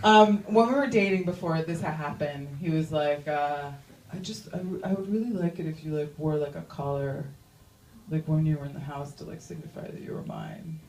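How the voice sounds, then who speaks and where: A woman's voice: deep voice, normal speed, darkish tone, reverberant, far from the mic, poor audio. Chatty self-mocking woman comedian. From Iranian-American. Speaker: stand-up comedian. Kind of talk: stand-up comedy set. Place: comedy club stage.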